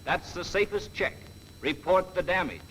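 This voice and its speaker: A man's voice: high-pitched, animated, moderate pace, a little hiss or hum, rough recording. A man playing a wisecracking, folksy narrator in a 1940s training film, telling cautionary tales with slangy, wry, theatrical delivery. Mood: wry, admonishing. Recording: old 1940s film soundtrack, loud music behind.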